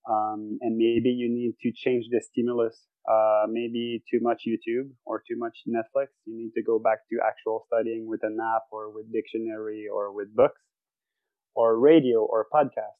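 A man's voice: lowish voice, little pitch movement, normal speed, dry recording, phone-quality sound. A man, a podcast guest who talks thoughtfully and searches for words. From Quebec, Canada. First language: French. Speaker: guest athlete. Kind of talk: casual podcast interview. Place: home bedroom.

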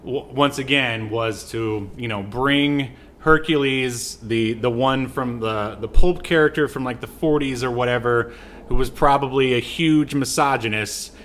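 A man hosting a podcast, casually discussing a film in a halting, agreeable, conversational way. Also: mid-range voice, a bit bright, a little hiss or hum. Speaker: podcast host reviewing films.

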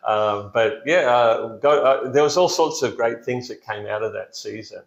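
A man's voice: medium pitch, normal speed, gravelly. A middle-aged man from New South Wales, speaking as a pastor in a casual interview, hesitant with many fillers and restarts, laughing easily. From Blue Mountains, New South Wales, Australia. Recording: echoey, dull lo-fi room sound.

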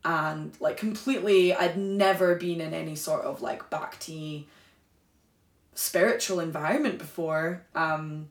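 A woman's voice: sits low, unhurried, reverberant. A woman guest on a podcast telling her personal story in a flowing, candid way, full of "like" and "you know". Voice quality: warm, flowing delivery with many "like" and "you know" fillers. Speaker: guest, sacred singer.

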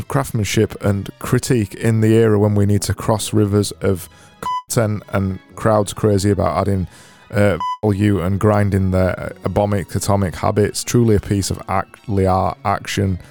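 A man with a Barnsley accent hosting his own podcast, talking alone in a sarcastic, deadpan, self-mocking way. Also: fairly low pitch, moderate pace, creaky, soft background music. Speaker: podcast host. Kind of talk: solo comedic podcast monologue.

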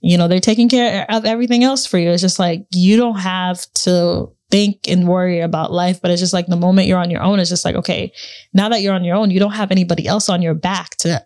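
A woman co-hosting a casual podcast chat, relaxed and thinking out loud with lots of fillers. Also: fairly low pitch, fast, dry recording. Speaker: podcast co-host.

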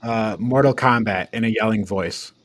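A man's voice: fairly low pitch, moderate pace, fairly bright tone, dry recording. A man co-hosting a podcast, chatting casually about films and games. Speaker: podcast co-host.

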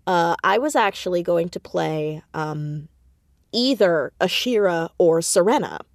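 A woman with a slightly low voice talks as a guest in a casual interview. She takes her time, yet tells things expressively and acts out her asides. The sound is dry and close. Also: expressive, a little slow, no room sound. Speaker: audio drama creator and voice actor, interview guest. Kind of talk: casual, animated interview chat.

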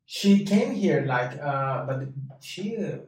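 A man in his late thirties from Brazil, speaking English, answers slowly and haltingly, often stopping to find the right word. His voice sits at an ordinary middle pitch. He is heard from well away from the mic in a very echoey space. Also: lively intonation, darkish tone, far from the mic. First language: Portuguese. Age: late 30s. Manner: hesitant, halting, searches for words. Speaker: interview guest.

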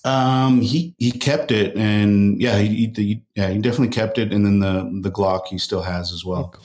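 A man raised in El Paso talks as a podcast guest, unhurried and easygoing. He pauses to recall details as he tells his stories. His voice sits slightly low. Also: fairly even intonation, a little mellow. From El Paso, Texas. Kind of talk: casual interview.

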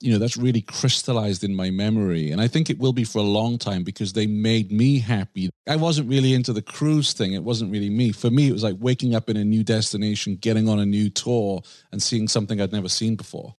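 A man's voice: lowish voice, fairly quick, hardly any pauses, darkish tone, no echo, studio quality. A man hosting a conversational interview, affirming his guest and sharing personal anecdotes between questions. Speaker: podcast host, interviewer.

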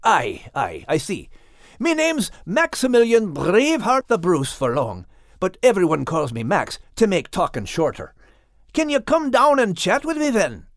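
A spunky, folksy little dog with a Scottish accent. The pitch swings very widely and the delivery is slow and questioning, recorded close and dry. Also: male voice, huge pitch swings, dry recording, heavily acted. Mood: friendly. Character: spunky little male Scottish terrier, plucky and wary.

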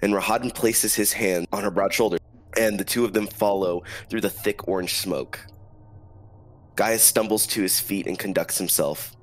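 Male DM with a cold, deep voice, solemn storytelling, faint background music. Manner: slow, solemn scene-setting narration. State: has a cold. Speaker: dungeon master. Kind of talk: dramatic narration of a tabletop game.

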